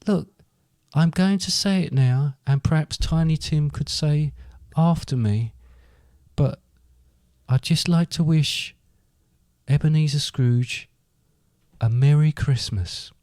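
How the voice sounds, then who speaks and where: Man as a humble, stammering mellow family man. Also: on the high side, takes it slowly, no room sound, clean studio sound. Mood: earnest, grateful. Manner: hesitant, stammering. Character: humble, grateful, emotional family man Bob. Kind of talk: comic amateur pantomime acting.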